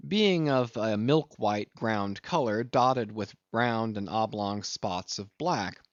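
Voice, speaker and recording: A man reading a literary audiobook in a measured, expressive way with deliberate phrasing. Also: mid-range voice, no echo, lo-fi sound. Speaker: audiobook narrator.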